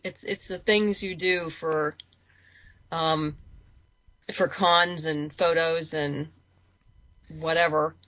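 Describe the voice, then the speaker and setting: Bright-voiced woman chatting, slightly dull audio. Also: low-pitched, steady tone, takes it slowly, pauses often, dry recording. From lives in Los Angeles. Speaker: interview guest, writer. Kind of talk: casual conversational chat.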